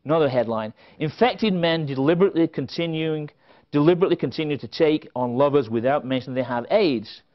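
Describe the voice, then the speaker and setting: A man's voice: on the high side, brisk. A middle-aged man giving a prepared conference lecture, with wry, dry humorous asides and emphasis on quoted phrases. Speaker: conference speaker. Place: indoor stage at a conference podium. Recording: slightly dull, band-limited event recording.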